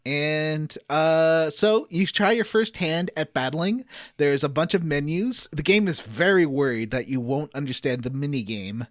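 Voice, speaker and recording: A man's voice: a little high, unhurried, dry recording, clean studio sound. A man hosting a casual podcast, recapping a video game in a rambling, enthusiastic way. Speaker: podcast host.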